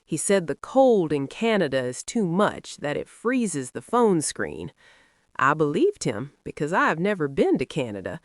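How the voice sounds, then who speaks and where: A woman with a Nigerian accent narrating a scripted first-person folktale in a dramatic, expressive way. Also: deep voice, unhurried, no echo, studio quality. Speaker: storyteller.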